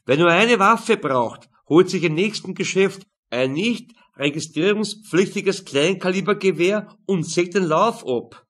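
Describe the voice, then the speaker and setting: A man's voice: high voice, a little slow, few pauses, fairly bright tone, pitched above the performer's own voice. A man voicing a sardonic, knowing police major in an audiobook, emphatic. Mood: assertive.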